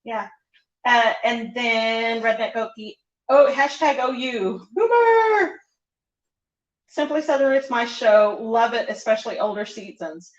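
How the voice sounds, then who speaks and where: A woman's voice: a little high, measured pace. A woman co-hosting a casual home livestream, laughing often as she reads viewer comments aloud. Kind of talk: casual chat. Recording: echoey room, slightly dull sound.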